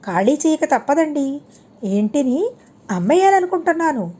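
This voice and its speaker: A woman's voice: high-pitched, big pitch swings, moderate pace, warm tone. A woman voicing a shrewd, polite but firm male landlord in an audiobook story, spelling out sums step by step.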